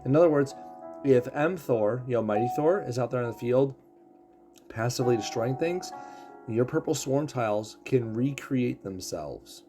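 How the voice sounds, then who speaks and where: A man casually explaining a game character's abilities, throat rough as he is losing his voice. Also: medium pitch, lively intonation, moderate pace, over music. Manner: explanatory, walks through ability text. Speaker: game streamer.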